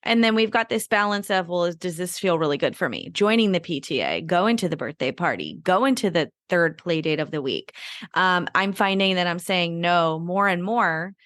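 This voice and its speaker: A young woman hosting a podcast, thinking aloud in a reflective way and reaching for words with "you know" and "like". Her voice sits fairly low and sounds dry and close. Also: lively intonation, moderate pace, fairly bright tone, dry recording. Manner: reflective, searching for words with fillers. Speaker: podcast host. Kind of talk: casual interview talk.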